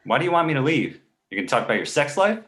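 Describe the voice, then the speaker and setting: A man's voice: a little high, big pitch swings, fast talker, fairly bright tone. A man playing a precocious, sarcastic two-month-old baby boy who talks like an articulate adult, in a comedy table read. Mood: smart-mouthed. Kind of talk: live table read of an animated comedy script. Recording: echoey room sound.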